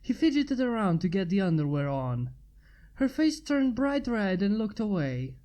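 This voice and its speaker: A woman's voice: medium pitch, big pitch swings, moderate pace, warm tone. A woman narrating a scripted piece of fan fiction as its reader.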